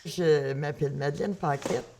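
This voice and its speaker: A woman in her sixties from Northern Ontario with a Franco-Ontarian French accent, talking in a plain-spoken, steady way in a documentary interview. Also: low voice, moderate pace, vocal fry, slight background noise. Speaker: retiree and volunteer.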